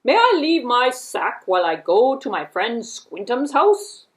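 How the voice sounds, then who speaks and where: Sly male storybook fox, performed by a woman: formal, bossy, emphatic, slow delivery. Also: fairly high pitch, very animated. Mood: courteous, commanding. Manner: emphatic, drawn-out, formal phrasing.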